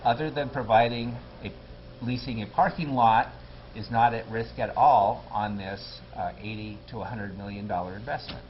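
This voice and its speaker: Low-voiced man in a lo-fi interview. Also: moderate pace, a little hiss or hum. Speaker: political candidate. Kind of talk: local TV interview guest.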